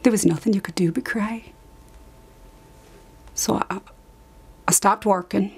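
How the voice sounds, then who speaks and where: An actress plays a wounded woman survivor recalling cruelty in a confessional dramatic monologue. Her voice sits at a middle pitch. She speaks haltingly, pressing on repeated phrases with hurt and defiance. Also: gravelly, slightly rough. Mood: hurt, despairing. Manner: halting, emphatic repetition, sudden drops to whisper. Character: anguished woman survivor of an Irish Magdalene asylum, recalling abuse.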